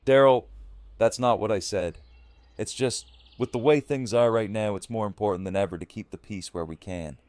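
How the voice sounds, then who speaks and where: A man's voice: on the low side, normal speed, darkish tone, dry recording, close to an everyday voice. A man playing a firm, weary survivor leader in an audio drama, speaking earnestly and with command. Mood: frustrated.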